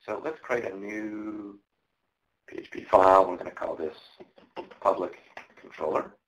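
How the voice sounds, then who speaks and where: A man's voice: lowish voice, measured pace, rough recording. A man giving a live coding walkthrough as a tech presenter, casual and explanatory with ums and uhs, and he is losing his voice. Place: conference presentation.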